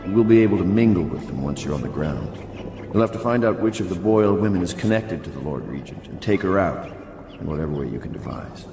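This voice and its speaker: Very deep-voiced, dignified older admiral. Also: male voice. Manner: measured, formal, authoritative. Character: stern, dignified older naval officer leading conspirators. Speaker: video game voice actor. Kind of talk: dramatic game cutscene dialogue. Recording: echoing room under loud music.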